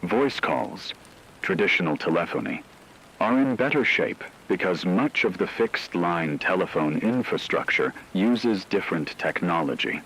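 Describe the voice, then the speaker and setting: A man playing a grave radio news anchor, reading a scripted emergency bulletin in a formal, measured, solemn way. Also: low-pitched, expressive, fairly bright tone, slight background noise, full character voice, poor audio. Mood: grave, steady. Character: grave male shortwave radio newscaster reporting global catastrophe.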